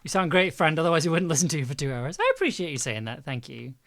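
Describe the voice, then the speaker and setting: A woman chatting casually on a livestream while she edits audio, with an expressive, giggly and effusive manner. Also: sits low, quick pace, heavy background noise. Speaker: audio drama producer and livestream host.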